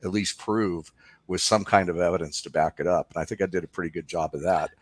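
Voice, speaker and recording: Low-voiced man explaining as interview guest. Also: fast talker. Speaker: documentary filmmaker, interview guest. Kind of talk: conversational interview, explaining his work.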